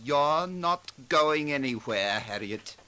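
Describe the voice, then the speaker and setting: Unhinged strangler, fiercely forceful voice. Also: male voice, on the high side, lively intonation, slow, full character voice. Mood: bitter, menacing. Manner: melodramatic, swinging from hushed menace to ranting. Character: unhinged male pianist turned strangler, menacing and wild. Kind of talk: 1940s radio acting. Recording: noisy vintage 1940s radio recording.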